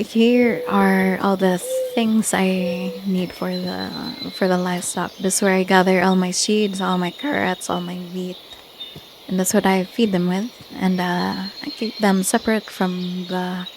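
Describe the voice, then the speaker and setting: A woman talks through a casual game tour in a fairly low voice with very little melody. She speaks slowly and hesitantly, searching for words as she goes. A faint noise with cricket-like sounds sits behind her. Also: little pitch movement. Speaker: gamer showing off own Minecraft village build. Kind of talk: casual, unscripted video game tour commentary.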